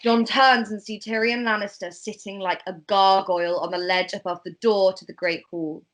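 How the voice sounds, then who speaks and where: A woman's voice: medium pitch, steady tone, normal speed. A woman reading scripted narration and stage directions in an amateur table read.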